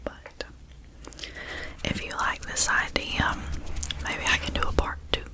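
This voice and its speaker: A young woman with a Southern US accent, softly whispering repeated trigger words in an ASMR video. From Southern United States. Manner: slow, repetitive trigger words with nail tapping. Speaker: ASMR creator.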